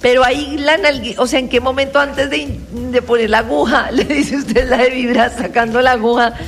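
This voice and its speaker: A woman with a Colombian accent, hosting a radio show and telling stories in lively, colloquial banter. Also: sits high, fairly quick. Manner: lively storyteller, laughs often, colloquial. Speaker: radio host.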